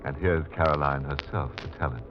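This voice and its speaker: An actor playing a wry, macabre mystery host, narrating theatrically in a sardonic 1940s radio style. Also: male voice, rumbling low, a little fast. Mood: wry, sinister. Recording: muffled 1940s radio recording with music.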